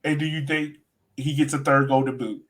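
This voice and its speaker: A man's voice: medium pitch, little pitch movement, normal speed, fairly bright tone. A man hosting a sports podcast, chatting casually and emphatically about soccer in an informal way. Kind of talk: casual chat, soccer analysis.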